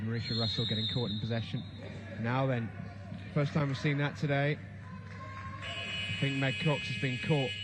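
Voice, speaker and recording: A man giving live play-by-play commentary on a futsal match, excitable and rising to shouts at the big chances. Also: mid-range voice.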